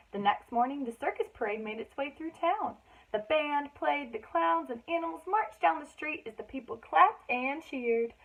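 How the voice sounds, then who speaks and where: A woman reading a children's story aloud expressively, with dramatic emphasis for young listeners. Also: fairly high pitch. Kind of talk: expressive read-aloud narration with chatty asides. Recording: thin, phone-like band-limited sound.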